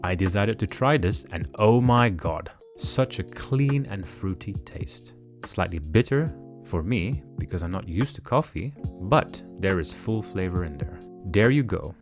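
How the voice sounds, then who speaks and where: A man giving measured, precise step-by-step narration for a home cooking tutorial. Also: deep voice, animated, faint music behind. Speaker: cooking channel host. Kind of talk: calm instructional cooking voice-over. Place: home kitchen.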